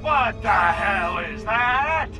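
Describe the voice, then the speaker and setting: A wisecracking alien invader in a video game, very high in pitch and unhurried. He is sarcastic and blustering, heard a little off the mic with loud music behind him. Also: lively intonation, recorded from a distance, full character voice, poor audio. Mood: alarmed sarcasm. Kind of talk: video game cutscene acting.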